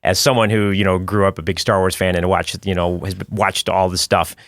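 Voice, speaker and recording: Man, podcast host, very low voice, dry close sound, rambling casual talk. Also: level tone, fairly quick, fairly bright tone, dry recording. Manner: rambling, with repeated words and restarts. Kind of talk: casual interview chat.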